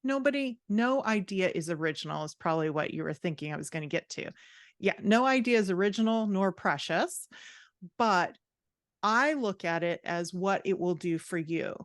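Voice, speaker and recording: Middle aged lady, bit of a low voice, dry sound, podcast guest giving candid sassy writing coach type advice. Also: expressive, moderate pace, dry recording. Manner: candid, emphatic, self-described sassy. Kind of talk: conversational interview answers, explaining and advising.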